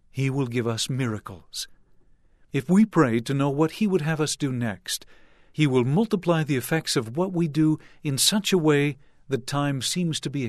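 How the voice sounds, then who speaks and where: An older man and church leader delivering a sermon to young people in a measured, earnest way with deliberate phrasing. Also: medium pitch, no room sound, studio quality.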